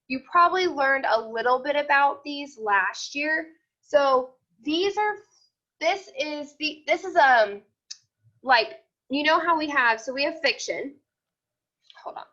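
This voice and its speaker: High female voice, bright and sharp, schoolteacher explaining to kids, slightly dull lo-fi audio. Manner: explanatory, repetitive, checking understanding often. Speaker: elementary school teacher. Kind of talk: informal online class lesson to children. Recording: dull, lo-fi video call audio.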